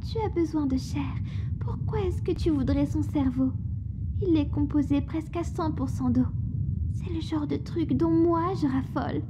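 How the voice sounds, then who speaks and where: A woman's voice: high voice, moderate pace, noisy background, natural voice. A woman playing a greedy, mock-sweet monster girl who taunts with false affection in an ASMR roleplay. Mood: greedy, curious. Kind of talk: French ASMR roleplay acting.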